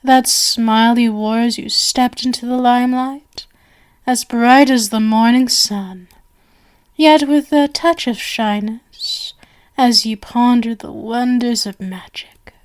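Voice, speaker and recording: A woman's voice: on the high side, fairly even intonation, slow, clear, resonant tone. A woman playing a sinister stage magician in an ASMR roleplay, with a genial, theatrical, flowery manner that coaxes and drifts toward whispers. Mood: adoring.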